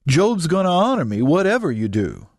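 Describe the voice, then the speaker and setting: A man's voice: on the high side, very animated, moderate pace, no echo, clean studio sound, pitched above the performer's own voice. A man voicing a stern, commanding God from a preached Bible story, declamatory in manner. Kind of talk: audiobook character voice.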